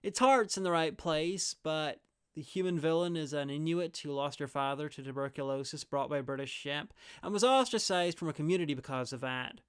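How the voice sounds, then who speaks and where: Clear, wry male reviewer. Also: a little high, a little fast. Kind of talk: scripted review narration.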